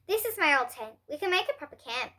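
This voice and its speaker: A woman's voice: high-pitched, very animated, quick pace, sharp tone, exaggerated voice. A young girl voicing a reassuring, resourceful dad in a children's storybook. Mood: encouraging.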